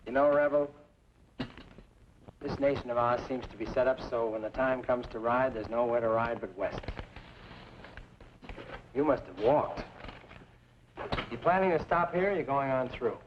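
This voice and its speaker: A man's voice: medium pitch, moderate pace, long pauses, natural voice. Man acting an optimistic, bookish frontier printer for a western drama; florid, theatrical delivery, slightly noisy dull recording. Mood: genial, philosophical. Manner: theatrical, grandiloquent, quotes poetry and scripture. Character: genial, bookish middle-aged frontier printer, philosophical optimist. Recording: dull lo-fi recording, faint noise.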